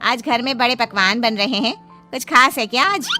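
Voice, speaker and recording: Woman acting a bright-voiced gossipy neighbour. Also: on the high side, animated, normal speed, soft background music. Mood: curious, gossipy. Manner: gossipy, knowing.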